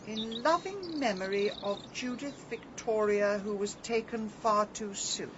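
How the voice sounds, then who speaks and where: An actress playing a bossy, impatient amateur detective in a radio drama, with an English accent and a brisk, sardonic manner. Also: female voice, mid-range voice, lightly acted. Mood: curious. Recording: dull sound, clear background noise.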